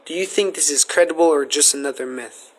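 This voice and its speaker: A man's voice: fairly high pitch, brisk. A man asking questions in a casual, conversational interview style. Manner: informal, conversational.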